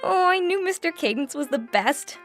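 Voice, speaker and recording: Squeaky gushing teenage starlet. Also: female voice, animated, normal speed, a bit bright, faint music behind. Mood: pleading, delighted. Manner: breathless, gushing, melodramatic. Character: gushing, dramatic seventeen-year-old girl starlet. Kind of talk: old Hollywood radio play acting.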